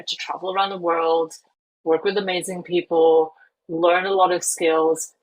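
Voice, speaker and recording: A woman's voice: fairly low pitch, gravelly, a little hiss or hum, low-quality recording. A woman in her forties from Singapore with a hard-to-place international accent, talking earnestly as a coach guest on a podcast, with many fillers and restarts. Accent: hard-to-place international English. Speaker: guest, life and career coach.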